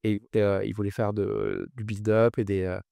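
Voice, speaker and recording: A man in his thirties from New Caledonia answering interview questions conversationally, often pausing on fillers and repeating words. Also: on the low side, flat delivery, creaky, dark tone, smooth, no room sound, studio quality. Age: 30s. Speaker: podcast guest, finance executive.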